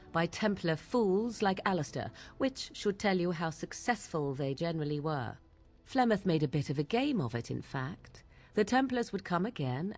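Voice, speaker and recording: A young witch of the wilds, haughty and sardonic. She speaks in a lowish female voice with deliberate, archaic, disdainful phrasing, over fantasy game music. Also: darkish tone.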